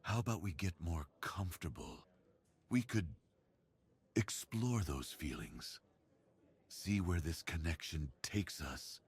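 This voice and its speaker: A man voicing a big, gentle werewolf in an ASMR roleplay, speaking in an earnest, bashful, tender way. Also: sits low, expressive, slow, pauses often, slightly rough, voice pushed down, heavily acted. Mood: shy, intimate. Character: big, muscular, gentle, bookish romantic male werewolf.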